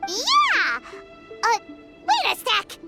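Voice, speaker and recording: A woman's voice: very high voice, extremely slow, creaky, heavily acted. A woman voicing a tiny, childlike fairy companion in a video game, eager, blunt and outspoken. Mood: excited. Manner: excitable, blunt, folksy. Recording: background music under the voice.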